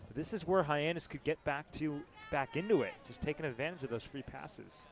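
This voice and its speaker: A man's voice: fairly high pitch, lively intonation, a little fast. A man giving live color commentary on a baseball game from the ballpark, in a conversational, explanatory way. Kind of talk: live sports analysis. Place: outdoors at a ballpark.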